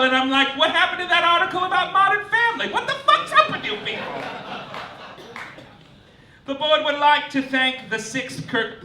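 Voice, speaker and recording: A man's voice: extremely high pitch, pushed and bright. A man performing a satirical stage monologue as host, with an arch, campy, theatrical delivery of mock official announcements. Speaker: comedian, host of a live comedy show. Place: live stage in a club before an audience. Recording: roomy, distant live recording with noise.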